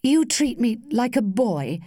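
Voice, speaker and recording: A woman voicing an earnest young gentleman suitor in a storybook, formal and reproachful with boyish bitterness. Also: medium pitch, moderate pace, no echo, studio quality, natural voice. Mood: bitter.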